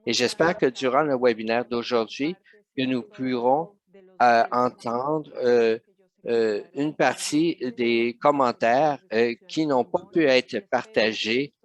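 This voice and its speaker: Slow, hesitant male interpreter. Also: medium pitch. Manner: hesitant, many fillers and restarts. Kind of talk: simultaneous interpretation of a webinar.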